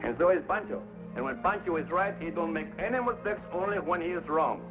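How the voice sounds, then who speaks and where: A man plays a self-satisfied comic sidekick in a 1950s television Western. His voice sits fairly high and he muddles his English agreeably. The soundtrack is a little dull, with music clearly behind him. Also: expressive, a little fast, natural voice. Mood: self-satisfied, agreeable.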